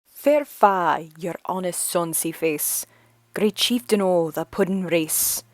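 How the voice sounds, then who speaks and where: An American woman reciting an old Scots poem in a put-on Scottish accent, slow and declamatory. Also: on the low side, no echo. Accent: American woman attempting a Scots accent. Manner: slow, deliberate, declamatory reading. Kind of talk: poetry recitation.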